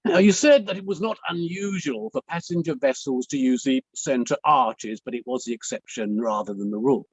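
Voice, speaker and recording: A man playing a courteous, deliberate barrister who pauses as he cross-examines, in a table read of an inquiry play. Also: medium pitch, slightly rough, no room sound, close to an everyday voice. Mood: politely probing. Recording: dull, dry, lo-fi microphone.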